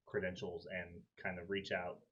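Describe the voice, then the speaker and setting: A man's voice: low-pitched, completely flat delivery, moderate pace, a bit bright, dull audio. A man speaking casually as a player in a tabletop roleplaying game, describing his character's actions.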